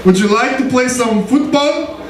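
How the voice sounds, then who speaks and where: A man's voice: very high voice, normal speed, voice pushed up. A man voicing his wide-eyed younger self as a newly arrived immigrant who speaks broken English. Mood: giddy. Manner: broken English, overjoyed and naive. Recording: roomy bar, distant mic, loud crowd.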